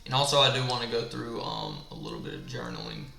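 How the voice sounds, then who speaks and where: Tired man with a bright, gravelly voice. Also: medium pitch, wildly swooping pitch, fast talker. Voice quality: gravelly, smoker-like voice. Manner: thinks aloud, asks and answers his own questions. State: tired late at night. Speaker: trading instructor. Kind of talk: live screen-share trading walkthrough. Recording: echoey room with faint background music.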